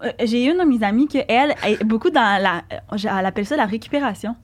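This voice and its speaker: A woman's voice: on the high side, lively intonation, fast. A woman with a Québécois French accent co-hosting a podcast, chatty and slangy, teasing the guest. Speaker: podcast co-host. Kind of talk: casual chat.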